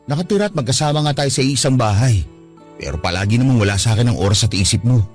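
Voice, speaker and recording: A man's voice: medium pitch, lively intonation, fast, soft background music, natural voice. A man playing a young boyfriend in a radio drama, earnest and pleading with his partner. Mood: hurt.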